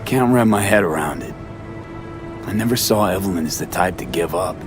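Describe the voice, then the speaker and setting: An actor playing a blunt, streetwise mercenary and supportive friend in a video game, speaking colloquially. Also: male voice, low voice, normal speed, natural voice. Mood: concerned. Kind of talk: naturalistic video game acting. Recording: game dialogue under clear background music.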